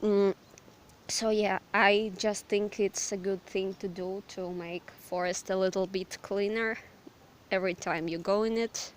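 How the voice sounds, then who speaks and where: A hoarse, slightly sick young woman from Ukraine with a Ukrainian accent, chatting hesitantly and apologetically in a forest. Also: medium pitch, steady tone, unhurried, slight background noise. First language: Russian. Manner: hesitant, apologetic, lots of fillers. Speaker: vlogger. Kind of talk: casual rambling chat. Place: outdoors in a forest.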